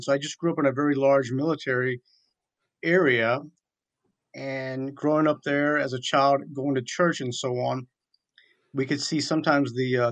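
A man in his forties from southeastern Virginia, a chaplain, telling his story conversationally and earnestly as an interview guest. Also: medium pitch. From southeastern Virginia, USA. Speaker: military chaplain, radio guest.

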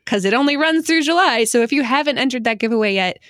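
A woman with a fairly high voice hosts a casual podcast chat. She is playful and quick to giggle. The sound is dry, with very little room around it. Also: animated, a bit bright, dry recording. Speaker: podcast host. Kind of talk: lively casual group chat.

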